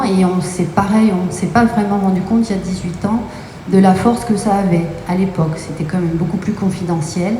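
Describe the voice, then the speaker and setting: A woman's voice: on the low side, warm tone. Woman guest speaking in an echoey room. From Brittany, France. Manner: explanatory, many hesitation fillers. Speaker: guest, company co-owner. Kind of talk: radio interview answers. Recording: echoey room with faint noise.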